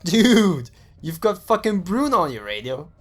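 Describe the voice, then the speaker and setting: Man playing a cocky, excitable young American slacker. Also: high-pitched, a little slow, soft background music, full character voice. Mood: gleeful. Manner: mocking, excitable, slangy. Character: cocky, amused, sarcastic young male slacker friend. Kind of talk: dramatized audio story dialogue.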